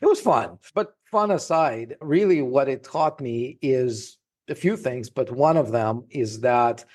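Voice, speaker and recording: A middle-aged man with a Russian accent tells his story as a podcast guest, in an easy, anecdotal flow. His voice sits at an ordinary pitch for a man, and he speaks conversationally. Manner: lively anecdotal, laughs while talking. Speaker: podcast guest, trademark lawyer. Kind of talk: casual storytelling interview.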